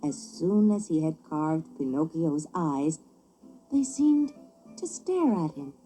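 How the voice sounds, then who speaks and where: A woman reading a children's story as scripted narration, with an expressive, theatrical delivery. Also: low voice, slow pace, soft background music, rough recording.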